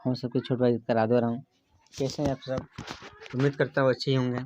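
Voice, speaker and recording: A man's voice: medium pitch, little pitch movement, fairly quick, background noise. A man presenting his songwriting channel in a casual, rehearsed way as its host. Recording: dull lo-fi sound.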